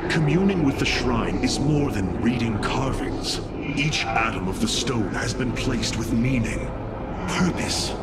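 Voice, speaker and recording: Man acting a measured, noble alien warrior leader. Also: medium pitch, lively intonation, close to an everyday voice. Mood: solemn, reverent. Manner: formal, stately, measured delivery. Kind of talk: dramatic video game voice acting. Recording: voice under loud game music.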